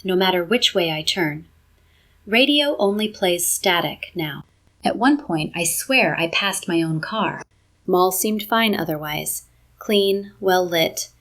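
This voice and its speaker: An actress playing a frustrated, trapped shopper, reading out an online review in a deadpan, uneasy way for an audio drama. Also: female voice, medium pitch.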